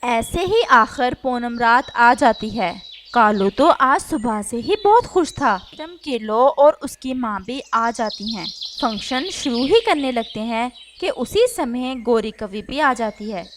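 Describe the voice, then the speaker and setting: A woman with a high-pitched voice narrates a children's animal story. Her delivery is scripted storytelling. A faint layer of noise lies behind the voice. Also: expressive, slow.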